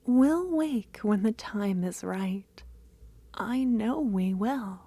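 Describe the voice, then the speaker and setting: A woman playing a loving, possessive female cultist kidnapper in a soft-spoken ASMR roleplay, breathy and coaxing, drifting into whispers. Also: medium pitch, animated, drawn-out words, no echo, heavily acted. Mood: tender, possessive.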